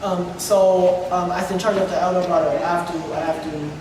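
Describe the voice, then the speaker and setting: A young man reflecting in an informal interview, halting and repeating his words. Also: high voice, level tone. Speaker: student puppeteer and interviewer. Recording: very echoey, distant, noisy room.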